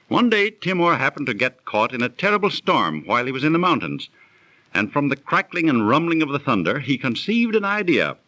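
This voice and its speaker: Worldly raconteur on 1930s radio, lively swings, dry close sound. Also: male voice, medium pitch, a little fast, no room sound, poor audio. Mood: absorbed, dramatic. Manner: genteel, theatrical raconteur. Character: worldly, well-travelled storyteller recalling an old legend. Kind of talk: 1930s radio acting, storytelling in conversation.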